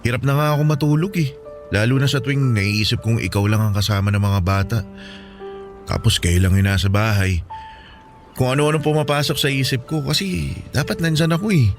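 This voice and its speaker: A male actor plays an anxious Filipino sailor who aches for his family. He speaks hesitantly and pleadingly in radio drama dialogue, at a middle pitch, over a clear music bed. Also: fairly quick, natural voice. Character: homesick young seaman husband, anxious and pleading.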